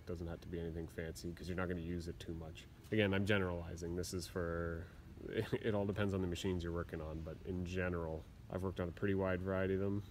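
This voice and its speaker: Man, deep voice, flat delivery, casual rambling, workshop bench, faint room noise. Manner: casual, rambling, plain-spoken with fillers. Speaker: aircraft mechanic. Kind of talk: casual tool show-and-tell. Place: workshop at a steel workbench.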